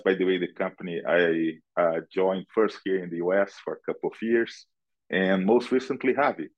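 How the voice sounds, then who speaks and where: The deep-voiced Brazilian man you'd hear as a remote guest on an industry podcast, picking his words haltingly over a slightly dull connection. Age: middle-aged. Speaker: podcast guest, analytics director. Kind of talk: conversational interview answers.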